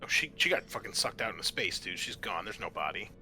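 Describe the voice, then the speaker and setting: A man's voice: lowish voice, lively intonation, fast talker, pushed and bright, soft background music. A man acting as game master, narrating a tabletop role-playing game in an informal way.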